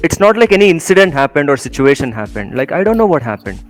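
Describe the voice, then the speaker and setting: A young man from India talking through his own story in a casual interview, halting with many fillers and small laughs. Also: on the high side, big pitch swings, faint music behind. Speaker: guest.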